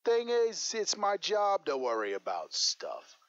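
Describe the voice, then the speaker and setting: A man's voice: high voice, huge pitch swings, unhurried, clear, resonant tone, dry recording, highs cut off, voice pushed up, heavily acted. A man voicing a streetwise, self-important middle-aged male gym owner with a slight Boston accent, for a game. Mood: wisecracking. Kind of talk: game voice acting.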